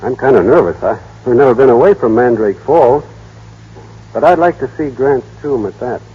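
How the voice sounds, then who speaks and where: A man's voice: mid-range voice, animated, moderate pace, breathy edge. An actor playing a naive, plainspoken small-town young man in an old-time radio drama. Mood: nervous. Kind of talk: 1930s-40s radio drama acting. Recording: muffled, band-limited old radio broadcast.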